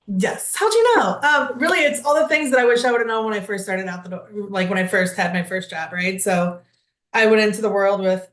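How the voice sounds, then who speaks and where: A woman's voice: mid-range voice, brisk, a bit bright, echoey room. A woman leadership coach from Wisconsin introducing herself on a video call, upbeat and direct.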